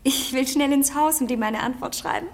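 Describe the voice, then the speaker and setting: A woman in a radio play acting a coquettish, haughty and defiant young wife of high birth. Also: a little fast. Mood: coy.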